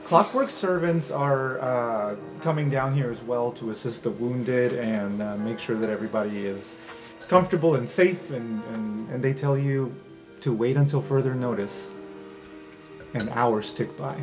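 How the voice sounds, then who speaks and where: A man's voice: medium pitch, moderate pace, over music. A man acting as game master, conversationally describing scenes in an improvised tabletop roleplaying game.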